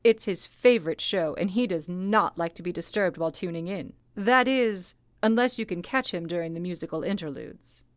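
Radio play: brisk, wry female chief operator, acted by a woman, lowish pitch, instructive and confiding. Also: dry recording, lightly acted. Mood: amused, confiding. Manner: dry-humoured, confiding, instructive. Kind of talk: old-time radio play acting.

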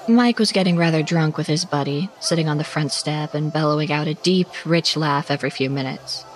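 Woman acting as a worried suburban narrator, lowish voice, measured dramatic horror storytelling w music behind. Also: natural voice, poor audio. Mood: tense, reflective. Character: anxious suburban woman recounting a frightening Christmas night. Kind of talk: scripted first-person horror narration. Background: background music.

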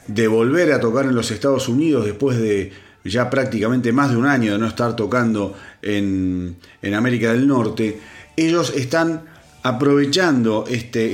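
A man hosting a rock news podcast, congested and hoarse, talking casually with lots of fillers and restarts. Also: medium pitch. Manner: chatty, with many fillers and restarts. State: congested, sore throat. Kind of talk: solo news commentary.

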